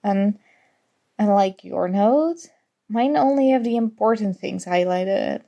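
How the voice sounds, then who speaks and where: Woman acting a snarky, creaky-voiced young female rival. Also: mid-range voice, takes it slowly, warm tone. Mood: mocking, grudgingly helpful. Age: young adult. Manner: sarcastic, teasing, exasperated. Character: snarky competitive college student, grudgingly fond academic rival. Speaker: audio roleplay voice actor. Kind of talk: ASMR roleplay acting.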